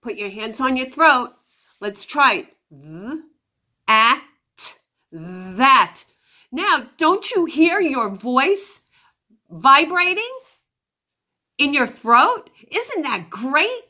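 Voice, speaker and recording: A woman teaching a phonics lesson to young children, giving deliberate instructions and sounding out words. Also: sits high, big pitch swings, pushed and bright.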